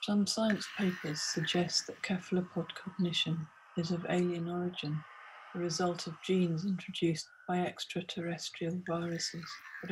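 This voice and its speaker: Female meditation guide, flat intonation, mid pitch, deliberate phrasing, faint noisy ambience behind the voice. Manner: measured, short deliberate phrases with pauses. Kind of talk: scripted guided meditation narration.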